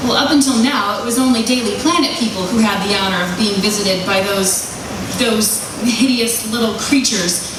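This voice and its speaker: A woman playing a sharp-tongued, indignant newspaper reporter in 1940s radio drama style. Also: medium pitch, normal speed, close to an everyday voice. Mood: incredulous. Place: stage performance in an echoey hall. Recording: echoey hall, distant mic, noisy.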